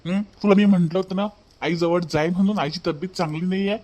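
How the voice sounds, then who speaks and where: A man's voice: sits high, steady tone, quick pace, a little mellow. A man voicing a stern, upset young husband who scolds in a cartoon family drama. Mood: accusing.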